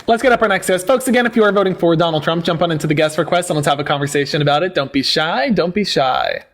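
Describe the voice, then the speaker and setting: A man's voice: a little high, fast talker, a little hiss or hum. A man hosting a livestream debate, talking in a sarcastic, combative and theatrical way.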